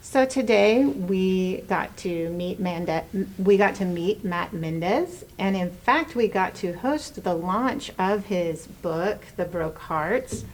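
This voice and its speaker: A woman's voice: on the low side, lively intonation, slow, few pauses, darkish tone, poor audio. A woman hosting a podcast, partly reading from a script and partly chatting. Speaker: podcast host, university program director.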